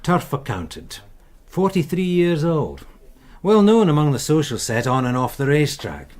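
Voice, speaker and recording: A man's voice: a little high, very animated, darkish tone. An actor playing a weary male detective sergeant in a radio drama, dryly deferential and understated. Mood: dry briefing. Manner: dry, understated, measured briefing.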